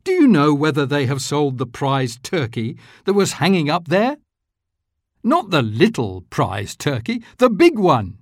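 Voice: mid-range voice, a little slow, no room sound, clean studio sound, pitched above the performer's own voice. A reader voicing an overjoyed old miser, calling out eagerly and exclaiming. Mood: giddy. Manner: excited, exclaiming.

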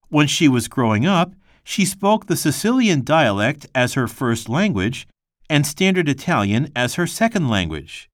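A man reads a textbook lesson aloud in a measured, even delivery. His pitch moves in a lively way. The sound is dry and close. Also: no echo. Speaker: textbook reader. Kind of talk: scripted reading.

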